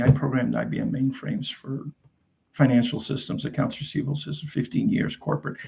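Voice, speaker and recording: Gravelly Jersey man chatting live. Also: medium pitch, a little mellow. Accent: New Jersey. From New Jersey. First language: Portuguese. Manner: rambling, chatty, frequent fillers and restarts. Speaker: host. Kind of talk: casual live Q&A chat.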